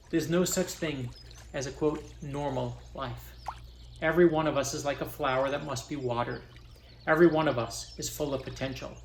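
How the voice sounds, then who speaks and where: A man's voice: a little high, roomy sound, a little hiss or hum, lightly acted. A man reading aloud as a determined young girl who is deaf and blind, in an earnest, uplifting way.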